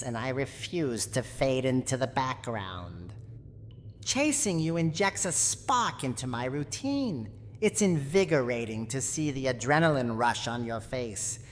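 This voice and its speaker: A woman voicing a menacing, proud, cranky old granny in a theatrical, deliberate ASMR roleplay monologue. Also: rumbling low, in a large hall, slight background noise, exaggerated voice. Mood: playful menace. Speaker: roleplay voice actor.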